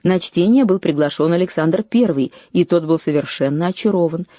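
A woman delivers a scripted documentary narration with a warm, mellow tone and a fairly low voice. She reads in a measured way, lifting her pitch to stress key phrases. The sound is dry and close, with no room around it. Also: no room sound.

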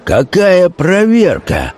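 Irate male notary played by a male narrator, higher register, blustering exclamations, audiobook drama. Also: huge pitch swings, slow, darkish tone, full character voice. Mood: outraged. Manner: outraged, exclaiming. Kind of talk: audiobook character acting.

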